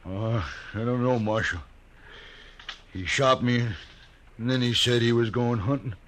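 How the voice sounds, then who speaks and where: An actor playing a wounded, frightened frontier hired hand, speaking weakly and haltingly in a 1950s radio drama. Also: male voice, mid-range voice, fairly even intonation, fairly bright tone, slight background noise, close to an everyday voice. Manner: weak, halting, panicky.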